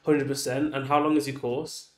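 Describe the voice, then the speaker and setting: A man hosting a casual interview, talking in a relaxed, chatty way and saying "like" a lot. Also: mid-range voice, moderate pace, reverberant. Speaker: interview host.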